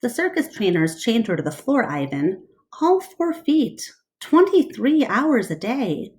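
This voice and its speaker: A woman voicing a gentle older female elephant, tired, hushed and motherly, in a storybook reading. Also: fairly high pitch, big pitch swings, unhurried, pitched above the performer's own voice. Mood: weary sorrow.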